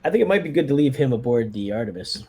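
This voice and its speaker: Mellow man, casual game player. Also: mid-range voice, very animated, quick pace, breathy edge. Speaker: tabletop game player leading the squad. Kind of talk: casual game table chat.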